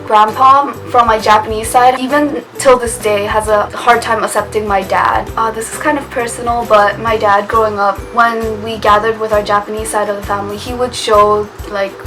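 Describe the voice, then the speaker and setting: A woman's voice: on the high side, flat delivery, moderate pace, hardly any pauses. Chatty young woman vlogger. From United States. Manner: chatty, laughs often, trails off with "I guess". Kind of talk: casual chat to camera. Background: music.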